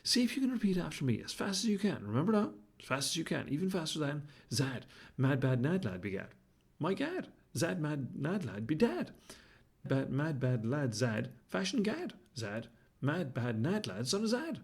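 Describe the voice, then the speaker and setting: A man's voice: fairly high pitch, sing-song, fast talker, hardly any pauses, husky, pitched above the performer's own voice, heavily acted. A man voicing a flamboyant, fashion-mad male baboon who talks in pompous, theatrical rhymes. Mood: rapid rhyming. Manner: pompous, sing-song rhyming, fussy and dramatic. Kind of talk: storybook character voice.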